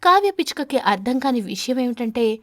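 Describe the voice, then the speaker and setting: A woman storyteller reading scripted narration for an animated children's fable. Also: fairly high pitch, fairly quick, dry recording, clean studio sound.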